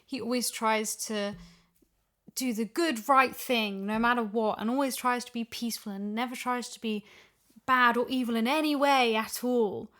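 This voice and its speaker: A woman with a fairly high voice chats casually on a podcast. She reasons her way through an argument in an informal, conversational way. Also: fairly even intonation, moderate pace, fairly bright tone. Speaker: podcast guest, audio drama voice actor. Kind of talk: casual discussion chat.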